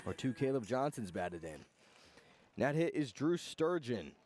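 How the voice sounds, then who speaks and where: A man's voice: medium pitch, sing-song, normal speed, darkish tone. Man calling baseball play-by-play, music behind. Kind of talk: live radio sports play-by-play commentary. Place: outdoor ballpark broadcast.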